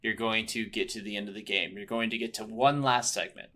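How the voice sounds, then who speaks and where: A man's voice: lowish voice, steady tone, brisk, over a noisy crowd. A man co-hosting a video game podcast in casual, sweary conversation, agreeable and laid-back as he chimes in.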